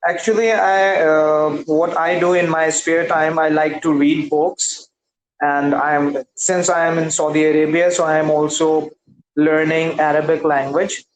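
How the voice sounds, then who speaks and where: A man's voice: a little high, little pitch movement. A man whose first language is Urdu, an English learner speaking carefully and hesitantly in a casual practice conversation over a video call. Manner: careful, hesitant, many pauses and affirmations. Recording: roomy video call audio.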